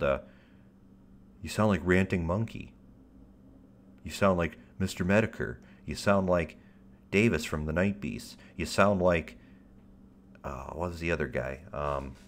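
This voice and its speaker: Guy hosting his stream, super deep radio voice, rambly and self-deprecating, swears now and then. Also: slight background noise. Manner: wry, swears casually. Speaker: livestream host. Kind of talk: casual livestream chat.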